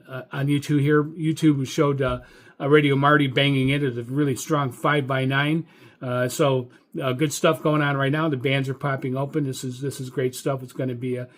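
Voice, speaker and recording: American guy doing a casual ham radio update, folksy, kinda halting, mid pitched voice, into it. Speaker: amateur radio hobbyist and channel host. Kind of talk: casual video update monologue.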